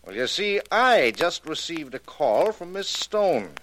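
An actor playing a menacing, crooked boss in a 1940s radio drama, curt and threatening. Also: male voice, fairly high pitch, big pitch swings, unhurried, fairly bright tone, slight background noise.

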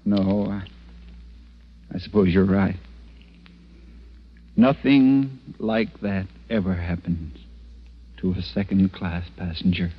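An actor playing a mild, polite, hesitant gentleman traveler in 1940s radio style. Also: low-pitched, steady tone, takes it slowly, pauses often, husky, full character voice. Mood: wistful. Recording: muffled, noisy old radio broadcast.

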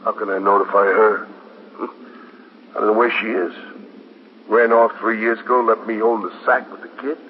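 A man playing an evasive, defensive working-class father who speaks haltingly, in a 1950s radio drama. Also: on the low side, flat delivery, natural voice. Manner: halting, repeating himself. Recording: muffled, noisy 1950s radio recording.

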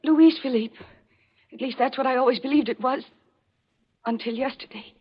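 A bewildered, haunted daughter in a vintage radio mystery. Her voice is high and earnest, with a dazed, dramatic manner. The recording is dull and old. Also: moderate pace, breathy edge. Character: troubled, bewildered daughter of a hanged killer. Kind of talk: 1940s radio mystery acting.

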